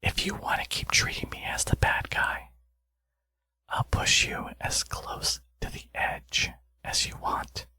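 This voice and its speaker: A man playing a smug, flirtatious villain in an intimate ASMR audio roleplay, teasing and coaxing, with a gravelly, husky voice. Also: slow pace, sharp tone, no echo. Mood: whispered menace.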